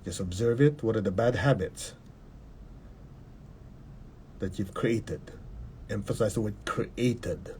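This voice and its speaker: Low, mellow man guiding a meditation. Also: creaky, slight background noise. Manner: calm, measured, repeating short instructions. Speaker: meditation guide. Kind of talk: guided meditation.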